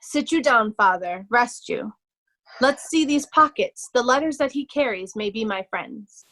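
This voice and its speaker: A woman playing a loyal young nobleman disguised as a humble poor man, earnest and measured, in readers' theatre Shakespeare. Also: a little high, animated, bright, forceful tone. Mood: gentle, grave.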